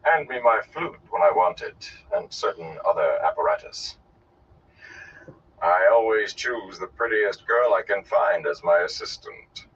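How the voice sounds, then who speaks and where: Man performing a haughty old storybook showman, theatrical, swooping intonation, drawn-out words, dull slightly distant recording, faint noise. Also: animated, fairly bright tone, husky, exaggerated voice. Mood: charming, flattering. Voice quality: deep, resonant. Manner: grandiloquent, declamatory, drawn-out delivery. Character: flamboyant, haughty old male gleeman, theatrical and scornful. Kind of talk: audiobook character voice.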